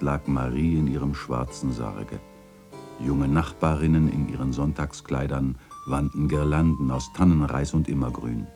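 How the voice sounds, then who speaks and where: An actor playing a melancholy old chronicler in a literary radio play, narrating in a wistful, theatrical and solemn way. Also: male voice, a bass voice, creaky, warm tone, exaggerated voice. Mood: grieving, wistful. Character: melancholy old male chronicler remembering his neighbourhood and its dead.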